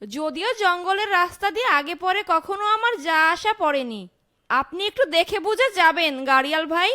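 A woman voicing a caring village wife and mother in a fairytale cartoon, polite and expressive. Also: extremely high pitch, normal speed, exaggerated voice. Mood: gentle.

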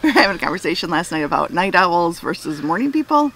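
A woman's voice: lowish voice, big pitch swings, quick pace, a bit bright, a little hiss or hum. Bubbly woman co-hosting outdoors. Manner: bubbly, laughs often. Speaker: vlog co-host. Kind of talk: casual vlog chat. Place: outdoor campground under a canopy. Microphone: lapel mic.

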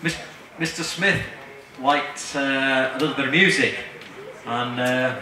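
Middle aged bloke introducing someone, kinda halting, really echoey pa with crowd around. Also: male voice, medium pitch. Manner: hesitant, halting. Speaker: event presenter introducing a reader. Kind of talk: informal spoken introduction to an audience. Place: large marquee tent with seated audience. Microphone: handheld mic through speakers, filmed from afar. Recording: echoey PA, distant, crowd noise.

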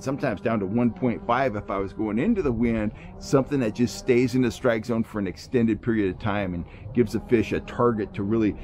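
A man's voice: medium pitch, darkish tone. A middle-aged American man giving instructional outdoor vlog talk as an angler, explaining points emphatically in folksy phrasing. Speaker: fishing show host and angler. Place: outdoors among trees.

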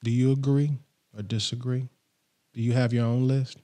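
Mellow-voiced middle-aged man reading a list. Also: mid-range voice, moderate pace. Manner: steady, read-from-script delivery. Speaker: podcast host. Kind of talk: scripted countdown list. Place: small home studio. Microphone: studio mic on boom arm, headphones on.

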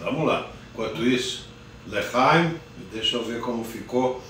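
A man's voice: medium pitch, normal speed, fairly bright tone, recorded from a distance, a little hiss or hum. An older man hosting a casual live cooking show in his home kitchen, chatting unhurriedly and greeting viewers by name. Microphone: phone camera at a distance. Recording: roomy kitchen echo from afar.

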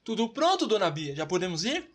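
A woman's voice: mid-range voice, very animated, a little fast, breathy edge. A woman with a Brazilian accent voicing a carefree, teasing boy best friend in a children's comedy cartoon, breezy and colloquial. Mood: lighthearted.

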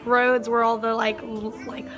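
A young woman with a raspy, strained voice, chatting casually in a travel vlog, rambling with lots of fillers, filmed on a phone at arm's length. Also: fairly high pitch, little pitch movement, measured pace. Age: young adult. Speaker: vlogger. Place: hotel room and outdoor terrace on an island. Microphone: phone camera at arm's length. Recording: ukulele music underneath.

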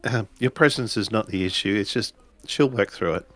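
A man's voice: fairly low pitch, moderate pace, a bit bright. A man playing a polite, dutiful samurai retainer in a tabletop game, speaking formally and courteously. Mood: reassuring.

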